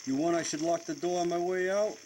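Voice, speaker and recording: A man's voice: fairly high pitch, steady tone, brisk, darkish tone, close to an everyday voice. Man acting a friendly New janitor, noisy recording. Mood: cheerful, deferential. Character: friendly, deferential working-class male museum janitor. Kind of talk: 1940s radio drama acting. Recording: noisy recording with sizzling hiss.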